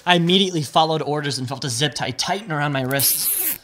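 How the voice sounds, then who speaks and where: Grieving survivor, prickly, emotional testimony, slightly high voice, audio drama, light background noise. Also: male voice, pushed and bright. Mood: grave, narrating. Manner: halting, emotional testimony turning to storytelling. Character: shaken, grieving male bank robbery witness, prickly, raw. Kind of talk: audio drama acting, first-person narration.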